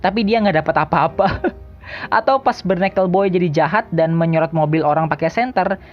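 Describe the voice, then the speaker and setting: A woman's voice: on the low side, fairly quick, muffled recording. A woman narrates a countdown video in a casual, slangy, jokey way. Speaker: video host. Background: background music.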